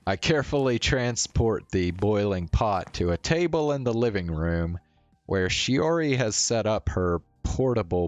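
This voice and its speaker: Low, slow-reading streamer in a roomy space. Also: highs cut off. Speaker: streamer reading a visual novel aloud. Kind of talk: narrated read-aloud of a visual novel. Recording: roomy sound, faint music behind. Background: faint game music.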